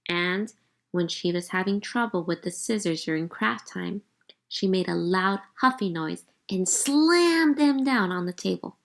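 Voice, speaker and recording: A woman's voice: medium pitch, expressive, a little slow, gravelly. A woman teacher leading a read-aloud lesson for young readers, instructive and speaking directly to her listeners. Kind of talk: read-aloud lesson, storybook narration and guided questions.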